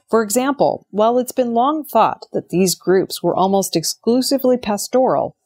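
Low-voiced woman reading news. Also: darkish tone. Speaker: news presenter. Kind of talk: scripted news narration.

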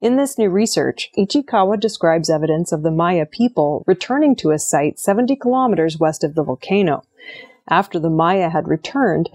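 A woman's voice: on the low side, a little mellow. A woman reading scripted news narration as a news presenter. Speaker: news reader.

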